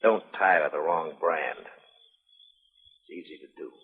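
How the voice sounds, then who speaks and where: An actor playing a stern, steady frontier marshal in a 1950s radio western, measured and firm. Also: male voice, extremely low pitch, slightly rough, phone-quality sound, heavily acted. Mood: firm warning. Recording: reverberant, band-limited old radio broadcast.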